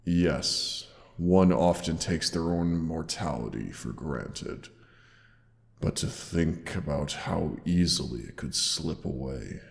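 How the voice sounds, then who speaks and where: A man playing a tender, protective male dragon in an intimate audio roleplay, speaking with old-fashioned endearments in a gravelly voice. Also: expressive, full character voice. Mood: gentle, reflective. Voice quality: very deep, gravelly. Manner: slow, archaic, tender endearments. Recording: long cavernous reverb.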